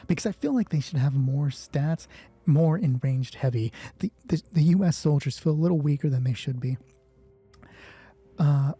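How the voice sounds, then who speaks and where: A man's voice: medium pitch, mellow, husky, no room sound. A man narrating a solo tabletop roleplay as game master, informal and moving between dramatic storytelling and thinking aloud.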